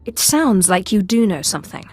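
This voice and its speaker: A cool, commanding Sith interrogator. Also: female voice, medium pitch, big pitch swings, normal speed, a little mellow, breathy edge, no room sound. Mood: menacing. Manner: controlled, clipped, authoritative. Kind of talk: video game voice acting.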